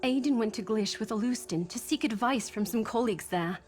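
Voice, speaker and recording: An actress playing a composed adult heroine in a fantasy video game. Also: female voice, fairly high pitch, brisk, darkish tone, soft background music, close to an everyday voice. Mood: serious. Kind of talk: game dialogue acting.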